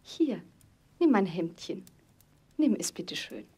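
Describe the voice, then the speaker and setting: Soft, breathy little girl. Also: mid-range voice, big pitch swings, slow pace, exaggerated voice. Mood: tender compassion. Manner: gentle, earnest storybook delivery. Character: kind, selfless poor little orphan girl. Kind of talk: fairy-tale audio play acting.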